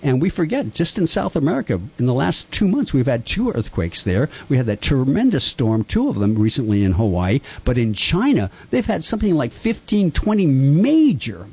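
An older man who was born in Japan, talking earnestly and at length with rambling, impassioned opinions on a radio-style talk show. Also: husky. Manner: earnest, rambling, lively pitch swings. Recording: dull lo-fi sound.

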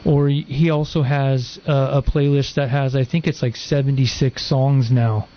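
A man's voice: mid-range voice, little pitch movement, normal speed, lo-fi sound. A man guesting on a radio show, talking in a casual, rambling way with lots of fillers. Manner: rambling, lots of ums and likes. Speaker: guest co-host.

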